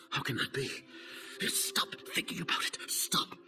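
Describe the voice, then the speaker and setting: An actor playing an arrogant older scheming grand sage in a video game, speaking in a haughty, deliberate, theatrical way. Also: male voice, over music, lightly acted. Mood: disbelieving, agitated. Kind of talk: dramatic video game acting.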